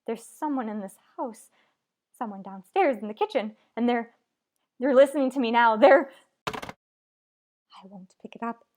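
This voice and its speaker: A woman's voice: on the high side, expressive, unhurried, long pauses, darkish tone. A woman playing a nervous, imperious invalid in an old-time radio thriller, agitated and pleading. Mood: alarmed. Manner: demanding, agitated, breathless pleading.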